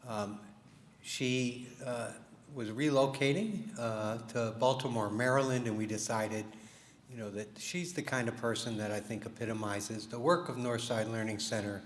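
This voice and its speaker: A man giving an informal, halting speech as a presenter at an awards dinner. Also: medium pitch, steady tone. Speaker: organization host and award presenter. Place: large room at a live fundraising event. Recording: echoey hall, audience noise.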